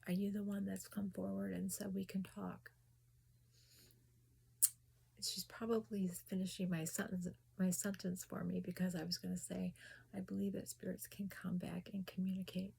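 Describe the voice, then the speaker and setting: A woman talking casually about spirits and grief in a soft whisper, hesitant and full of restarts. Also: mid-range voice, level tone, moderate pace, creaky, husky. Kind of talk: casual personal talk.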